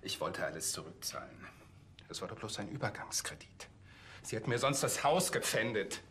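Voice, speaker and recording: A man's voice: on the low side, big pitch swings, normal speed, a bit bright, slight background noise. A man playing a defensive church cantor under police questioning, tense and self-justifying, in a TV crime drama. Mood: pleading. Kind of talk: naturalistic TV crime drama acting.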